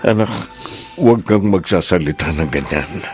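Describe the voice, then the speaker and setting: An actor with a Filipino accent playing a gentle middle-aged father in a radio drama, husky and tenderly reassuring. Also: deep voice, expressive, moderate pace, soft background music. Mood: tender reassurance. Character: gentle middle-aged father comforting his sick child.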